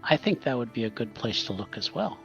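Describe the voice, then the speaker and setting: A tabletop player with a creaky, highly animated voice improvises casually, with some hesitation. The recording is dull and slightly muffled. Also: a little fast, soft background music.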